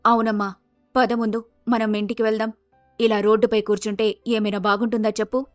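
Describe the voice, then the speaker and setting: A woman voicing a kindly, motherly character with a coaxing manner in an animated moral story. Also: a little high, fairly quick, dry recording, studio quality, soft background music, natural voice. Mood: caring, coaxing.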